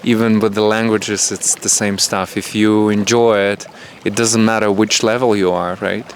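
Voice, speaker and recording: A man whose first language is Russian and Ukrainian, a guest chatting animatedly in English and sometimes jumping up in pitch. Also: normal speed, slight background noise. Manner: animated, acts out voices and jumps up in pitch. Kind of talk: casual conversation.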